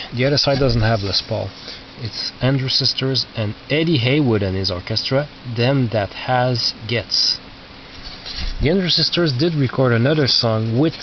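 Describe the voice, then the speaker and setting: Man, casual show-and-tell, halting, muttered asides, dull lo-fi sound, clearly noisy. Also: mid-range voice. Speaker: record collector presenting his collection. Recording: lo-fi, noisy, distant mic with clicks.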